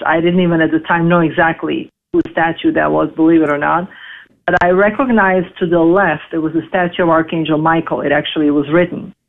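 Woman on a tinny phone line. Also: on the low side. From Serbia. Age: 40s. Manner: halting, many fillers and restarts. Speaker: interview guest. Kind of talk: casual interview, personal story.

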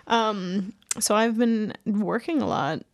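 A young adult woman co-hosting a podcast, chatting casually with deadpan, sarcastic jokes. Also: medium pitch, takes it slowly. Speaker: podcast co-host. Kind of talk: casual chat.

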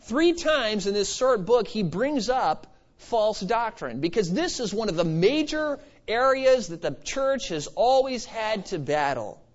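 A man's voice: high voice, big pitch swings, a little slow. A man preaching an expository sermon in an emphatic, exhorting manner with conversational asides. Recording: slightly dull, lo-fi sermon recording.

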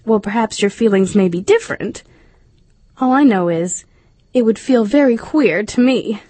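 A woman's voice: medium pitch, lively intonation, normal speed, dark tone, dry recording, voice pushed up, natural voice. A woman voicing a polite, hesitant and earnest young girl, the heroine of a storybook. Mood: polite, flustered.

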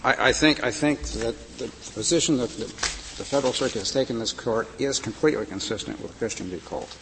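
A man with a soft voice arguing politely and carefully as a lawyer before a high court. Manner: polite, deferential, measured. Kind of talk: formal courtroom argument. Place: large courtroom. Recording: reverberant archival courtroom recording with faint noise.

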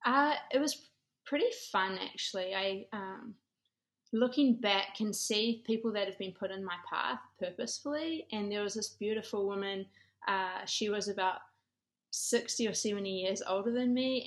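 A woman in her thirties with a New Zealand accent, talking conversationally as an interview guest and telling stories. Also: mid-range voice. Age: 30s. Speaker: podcast guest, former college golfer and ministry director.

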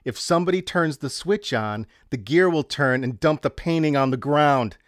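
A man's voice: fairly high pitch, normal speed, no room sound, studio quality, natural voice. A man narrating a story as a wry, teasing male prankster artist with a provoking smart-aleck manner. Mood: scheming.

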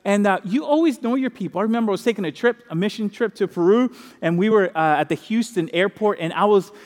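A pastor from the Dominican Republic preaching a sermon in an animated, conversational way, repeating key phrases and adding asides. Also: male voice, high-pitched, quick pace, long reverb tail.

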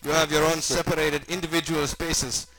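A man puts on an eccentric German mad scientist for a comic story. The voice is pushed higher, with a thick mock German accent. He is sinister and gleeful, savoring each word theatrically and dropping in German. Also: level tone, moderate pace, fairly bright tone, close to an everyday voice, low-quality recording. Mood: gloating, sinister. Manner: theatrical, over-enunciated, mixing in German words.

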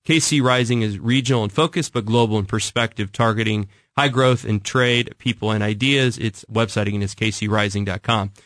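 A man's voice: lowish voice, steady tone, fairly quick, few pauses, a bit bright, no room sound, clean studio sound. An American man hosting an interview show in a conversational style, introducing guests and asking questions. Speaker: talk show host.

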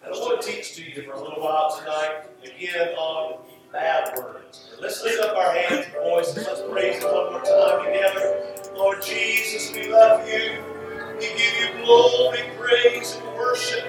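A man's voice: high-pitched, flat delivery, a little slow. A man preaching a sermon, teaching from scripture in an emphatic way and repeating phrases for stress. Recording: roomy echoing hall, distant mic, music.

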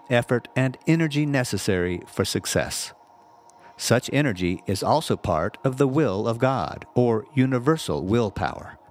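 A man reading a scripted spiritual text aloud in a measured, deliberate, instructive way. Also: mid-range voice, slight background noise. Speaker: audiobook-style reader, reverend.

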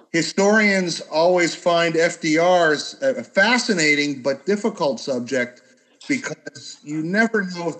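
A man's voice: fairly high pitch, fairly bright tone, poor audio. A man who teaches history, speaking informally as the moderator of an academic discussion.

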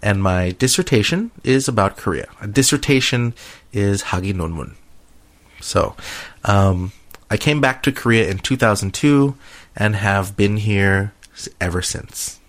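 A man's voice: fairly low pitch, lively intonation, unhurried. A man hosting a podcast in casual conversation, with a playful, teasing manner. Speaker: podcast host.

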